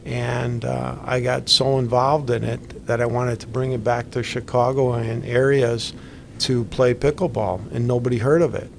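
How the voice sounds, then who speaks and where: A middle-aged man with a gravelly voice speaks as a pickleball instructor in casual conversation. He tells his stories in a chatty, easygoing way, with a faint hum behind him. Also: medium pitch, fairly even intonation, moderate pace. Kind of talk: podcast conversation.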